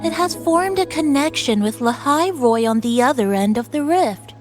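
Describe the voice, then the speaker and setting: An actress voicing a helpful, technically savvy young woman in a video game, measured and confident. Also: sits high, lively intonation, soft background music. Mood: focused.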